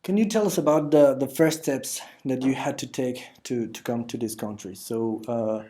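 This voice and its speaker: A man hosting an interview, asking questions haltingly with many fillers and restarts. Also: mid-range voice, mellow. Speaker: host and interviewer.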